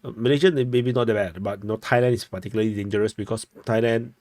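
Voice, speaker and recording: A man with a Singaporean accent giving informal news commentary as a host, halting and often rephrasing himself. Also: on the low side, fairly even intonation, a little mellow, no echo. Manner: halting, repeats and rephrases phrases.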